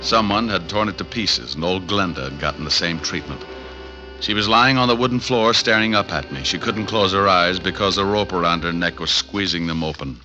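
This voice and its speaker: An actor playing a wisecracking, tough private detective in vintage radio drama style, dry and sardonic. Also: male voice, sits low, fairly quick, sharp tone. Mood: hardboiled narrating. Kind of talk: 1940s-50s radio detective acting with first-person narration. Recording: dull vintage radio recording with music.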